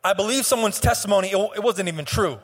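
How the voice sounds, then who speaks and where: High-voiced hoarse man preaching in a hall. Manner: impassioned preaching with sung, drawn-out phrases and frequent restarts. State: slightly hoarse. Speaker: pastor. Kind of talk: sermon. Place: church sanctuary.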